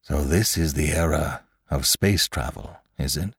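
A man doing a cold, low-voiced, guarded schemer. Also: a little slow, breathy edge, no room sound, exaggerated voice. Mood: sly, measured. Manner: dry, terse, guarded. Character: cold, wary, secretly murderous man.

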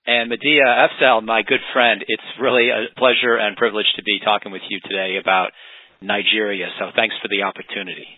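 A man's voice: on the low side, flat delivery, fairly quick, no echo. A man interviewing a guest on a podcast, courteous and complimentary, asking long, careful questions. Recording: dry, phone-like line.

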